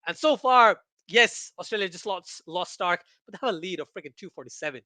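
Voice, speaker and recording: Male livestream cricket host from Pakistan, high and bright voice, close dry sound, excitable casual chat. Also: fairly quick, no room sound. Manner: excitable, repeats phrases for emphasis. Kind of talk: casual watchalong chat, reading viewer comments aloud.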